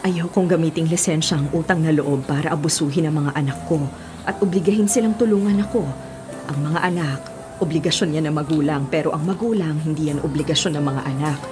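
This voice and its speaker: A woman's voice: low-pitched, a little fast, music in the background, close to an everyday voice. A woman acting a hardworking, self-sacrificing middle-aged mother in a radio drama, earnest and principled. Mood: earnest, resolute. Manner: earnest, principled, pleading when pressed.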